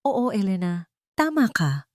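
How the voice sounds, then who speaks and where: A woman's voice: medium pitch, very animated, unhurried, no echo, clean studio sound. A woman playing a betrayed, remorseful wealthy husband and father in an audio drama, restrained and solemn. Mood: restrained calm.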